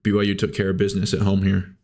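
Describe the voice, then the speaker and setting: Man, sore throat, gravelly, low voice, casual sports talk, stat-heavy. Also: fast talker. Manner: relaxed, stat-heavy recap with fillers. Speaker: co-host.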